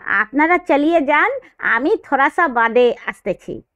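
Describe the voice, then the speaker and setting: Woman as high-voiced, blunt rustic helper man. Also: expressive, measured pace, a bit bright, dry recording. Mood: eager. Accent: Hindi-tinged. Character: simple rustic male helper, sharp-eyed and commanding.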